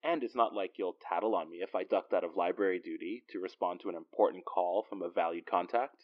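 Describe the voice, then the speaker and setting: A man's voice: low voice, quick pace, dull audio, lightly acted. A man playing a confident, wisecracking police detective in an audio drama, with a dry, teasing, natural delivery.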